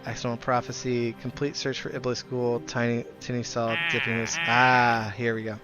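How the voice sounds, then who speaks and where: A man's voice: medium pitch, level tone, moderate pace. A man live streaming a game, chatting casually and in a friendly way with viewers as he plays. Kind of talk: casual game livestream chat. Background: music.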